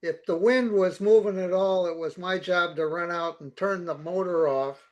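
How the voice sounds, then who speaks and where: A man with a high voice tells a story in a casual chat. He starts haltingly and repeats words as he gets going. He sounds like he is speaking over a video call. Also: fairly even intonation, muffled recording. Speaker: participant in a technical session.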